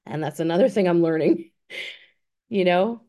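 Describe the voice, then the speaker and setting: A woman's voice: lowish voice, measured pace, warm tone. A woman in her fifties giving an earnest, encouraging motivational talk on a group call. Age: 50s. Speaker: guest speaker.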